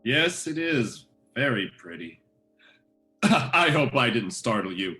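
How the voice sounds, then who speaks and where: A man's voice: mid-range voice, sing-song, slow pace, sharp tone, faint music behind, heavily acted. Man playing a polite stranger with swooping intonation. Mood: falsely polite. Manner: courteous, apologetic. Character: smooth, polite male stranger posing as a doting father. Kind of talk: old-time radio drama acting.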